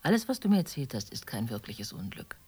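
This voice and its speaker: An actress playing a calm, frank middle-aged mother who reasons matter-of-factly with a friend in a naturalistic radio drama. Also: rumbling low, brisk, slightly rough, heavily acted. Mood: reasoning, consoling.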